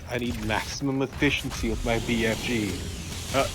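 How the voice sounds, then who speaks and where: A man's voice: medium pitch, fairly even intonation, normal speed, background noise. A man co-commentating on a video game with casual banter. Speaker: let's play co-commentator. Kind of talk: casual banter over gameplay.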